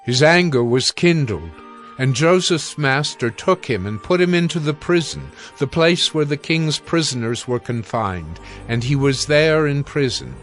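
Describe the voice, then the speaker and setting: A man narrating scripture from a script in a solemn, measured manner. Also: fairly high pitch, fairly bright tone.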